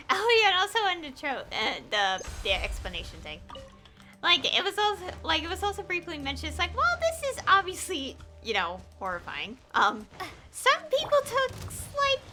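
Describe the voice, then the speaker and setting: High-pitched, animated young woman streaming. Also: moderate pace. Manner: rambling, hesitant, thinking aloud. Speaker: game streamer. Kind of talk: casual live gameplay chat. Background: game music.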